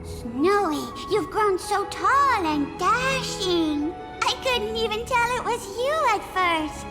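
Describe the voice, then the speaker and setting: A tiny, cutesy fairy from a fantasy game. The voice is extremely high and bouncy, exclaiming sweetly in a long reverb under loud music. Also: female voice, lively intonation, slow pace, full character voice. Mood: admiring. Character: tiny excitable childlike fairy, sweet and gushing. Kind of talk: video game voice acting.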